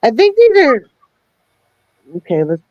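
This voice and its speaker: A woman with a high voice that swoops up and down as she chats on a livestream. She reads out party questions playfully. The audio is dull and lo-fi, with a dry, close sound. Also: huge pitch swings, a little slow, no echo. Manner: playful, asks would-you-rather questions. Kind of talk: casual chat on a livestream.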